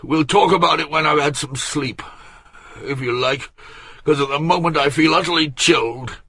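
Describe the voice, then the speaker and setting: A man's voice: fairly high pitch, normal speed, fairly bright tone, husky, dry recording, pitched above the performer's own voice. A man voicing a fat, fussy older nobleman with a head cold, groaning and complaining. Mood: grumbling.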